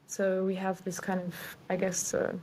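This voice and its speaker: A woman in her thirties from Sweden, an architect, answering podcast questions calmly and hesitantly with many fillers. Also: mid-range voice, measured pace, a little hiss or hum. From Sweden, raised in several countries. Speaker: architect, guest.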